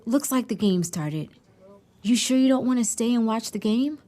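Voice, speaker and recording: An earnest teenage girl played by a woman in an audio drama. Her slightly high voice speaks naturally, with sincerity and self-doubt. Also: animated, normal speed, dark tone, natural voice. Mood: casual.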